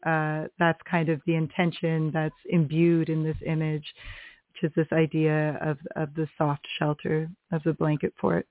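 A woman's voice: low-pitched, completely flat delivery, normal speed, no room sound. Woman poet on a tinny phone line. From Canada. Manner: thoughtful, searching, many fillers. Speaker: poet guest. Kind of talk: casual interview talk.

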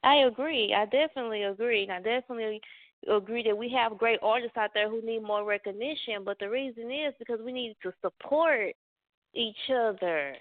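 A woman's voice: on the high side, a little fast, dry recording. A woman from the American South, a rapper and co-host, talking in an outgoing and agreeable way. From born in Atlanta, raised in Dallas. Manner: outgoing, agreeable, apologetic with little laughs. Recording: tinny phone-line sound.

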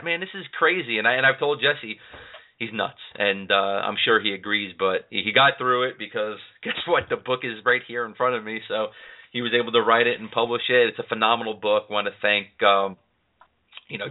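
A man hosting a chat show, talking casually and rambling with lots of fillers. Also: mid-range voice. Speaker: podcast host. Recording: tinny phone-line sound.

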